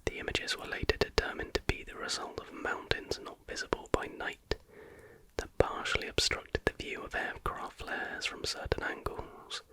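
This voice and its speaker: An ASMR host reading factual material aloud in a breathy, unvoiced whisper with a measured, hushed delivery. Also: poor audio.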